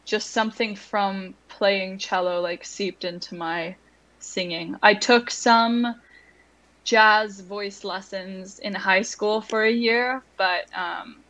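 A young woman talks as a guest in an interview, at an ordinary pitch for a woman. She speaks softly and hesitantly, often pausing on um and like. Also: steady tone, low-quality recording. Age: young adult. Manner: soft-spoken, hesitant, with many ums and likes. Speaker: musician guest. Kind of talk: conversational interview.

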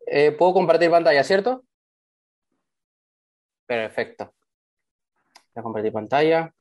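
A man's voice: fairly high pitch, animated, unhurried, a little mellow. Young guy from venezuela giving an online training, eager and heartfelt, video call mic. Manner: earnest, enthusiastic storytelling. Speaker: motivational trainer.

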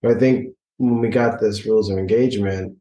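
A man co-hosting a relationship podcast, speaking reflectively and haltingly with frequent restarts. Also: lowish voice, steady tone, warm tone, echoey room, recorded from a distance. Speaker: podcast co-host. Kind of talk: casual couple chat.

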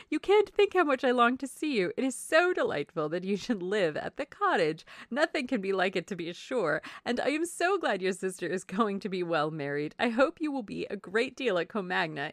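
A woman playing a silly, chatty young married gentlewoman in a classic novel reading, effusive and exclamatory. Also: mid-range voice, dry recording, clean studio sound. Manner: effusive, exclamatory, prone to giggling. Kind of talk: classic novel audiobook dialogue.

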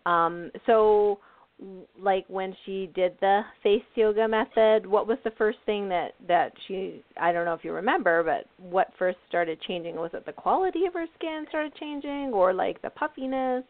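A woman's voice: medium pitch, normal speed, no echo. A woman hosting a radio show, asking questions in a chatty way with many fillers. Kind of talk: radio interview chat. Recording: dry, tinny phone-like sound.

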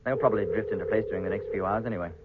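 A man acting a calm, decisive spaceship captain in the style of 1950s British radio drama. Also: lowish voice, racing, phone-quality sound, close to an everyday voice. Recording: thin, band-limited, noisy 1950s radio recording.

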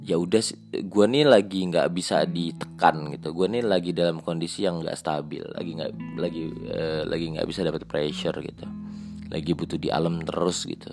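A man speaks in a low, gravelly voice. He tells his story slowly and thoughtfully, pausing and restarting often. Guitar music plays clearly behind him. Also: steady tone. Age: late 20s. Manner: reflective, hesitant, many fillers and restarts. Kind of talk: personal storytelling monologue.

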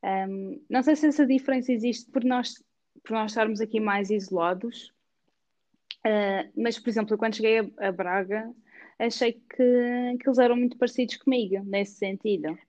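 Madeiran woman in her 30s, halting answers, little laughs, dull muffled call audio. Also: a little high, fairly even intonation, darkish tone. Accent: Madeiran Portuguese. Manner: hesitant, halting, laughs often. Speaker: podcast guest. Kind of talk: casual conversational interview. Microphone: remote call.

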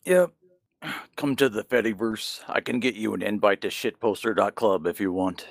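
A man's voice: fairly low pitch, normal speed, creaky. A man co-hosting a podcast in casual conversation. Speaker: podcast co-host. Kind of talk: casual chat.

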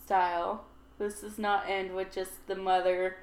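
A woman's voice: medium pitch, little pitch movement, unhurried, echoey room. A woman co-hosting a podcast, chatting casually and hedging her thoughts with "like" and "I just feel". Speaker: podcast co-host. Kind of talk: casual chat.

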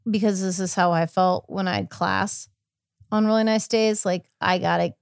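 A woman's voice: lowish voice, fairly even intonation, moderate pace, dry recording, clean studio sound. A woman co-hosting a true crime podcast in casual, conversational style. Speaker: podcast co-host.

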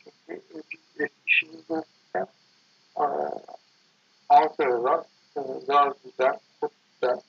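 Husky, lively man, halting talk. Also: a little high, no echo, dull audio. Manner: halting, digressive, self-correcting. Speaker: architect guest being interviewed. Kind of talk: casual reflective interview talk.

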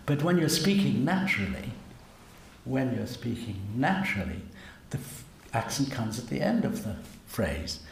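A man's voice: mid-range voice, very animated, normal speed, creaky. An older man, a cellist, musing conversationally and self-deprecatingly, often ending with tag questions. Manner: musing, asks tag questions, chuckles at himself. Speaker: cellist and guest. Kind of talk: conversational interview. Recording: roomy event recording with faint hum.

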